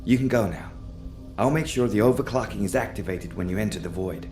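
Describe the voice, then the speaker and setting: Actor as a roomy-voiced earnest tech engineer. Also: male voice, lowish voice, brisk, darkish tone, soft background music, lightly acted. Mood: reassuring. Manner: explanatory, friendly. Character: helpful, earnest male tech engineer, easily tempted by cookies. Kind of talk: video game voice acting.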